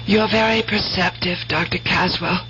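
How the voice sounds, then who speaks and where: A woman playing a weary, lovelorn fading beauty, languid and halting, in a 1970s radio drama. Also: on the low side, a bit bright. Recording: muffled 1970s radio broadcast, faint hum.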